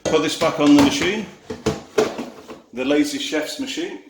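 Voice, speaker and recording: A man with a Yorkshire accent giving a relaxed cooking demonstration in his home kitchen, easygoing and self-deprecating as he works. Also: a little high, a little slow, heavy background noise. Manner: easygoing, self-deprecating, talks while working. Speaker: cooking video host. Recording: echoey kitchen with clattering dishes.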